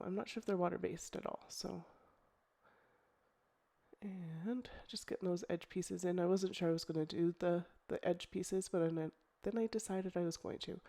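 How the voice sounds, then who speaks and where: Croaky middle-aged lady rambling through her scrapbook page, lowish mellow voice, lots of ums. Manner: relaxed, chatty, thinking aloud with ums and restarts. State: croaky from a cold. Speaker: scrapbooking crafter. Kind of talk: casual crafting tutorial voice-over.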